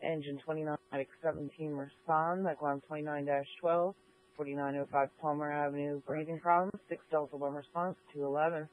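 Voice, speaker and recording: A man's voice: a little high, level tone, a little fast, phone-quality sound. A man working as an emergency dispatcher, reading clipped, formulaic announcements over the radio.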